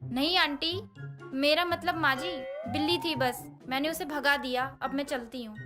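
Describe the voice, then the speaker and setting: A woman voicing a brave, caring young tenant daughter-in-law in a storybook tale, over soft background music. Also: high-pitched, fairly even intonation, moderate pace, natural voice. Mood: flustered. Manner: eager, reassuring.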